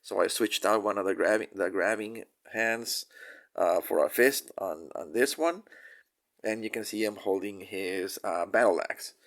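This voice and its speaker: A man's voice: fairly low pitch, unhurried, a bit bright. A man with a nasal voice from a cold, casually reviewing a toy figure with many fillers and restarts.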